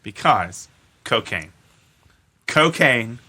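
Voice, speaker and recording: Very bright, forceful man riffing on a podcast. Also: mid-range voice, very animated, extremely slow, husky. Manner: emphatic, repeating phrases for effect. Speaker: podcast co-host. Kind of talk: casual, profane group chat.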